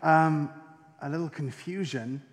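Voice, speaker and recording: A man's voice: on the high side, a little slow. A young man with an English accent telling his personal faith story to an audience, with wry self-deprecating humor and many ums. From England and France. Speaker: speaker giving a testimony. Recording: long reverb of a hall.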